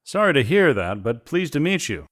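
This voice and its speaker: An actor playing a modest, wary mercenary hunter in an audio drama, polite and plain-spoken. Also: male voice, on the high side, sing-song, normal speed, fairly bright tone. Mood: polite, shaken.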